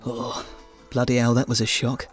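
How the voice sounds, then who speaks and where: A man's voice: mid-range voice, monotone, moderate pace, soft background music, natural voice. An actor playing a self-pitying, callous married man with a blunt, whining manner in a comedy audio drama. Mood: self-pitying, dismissive.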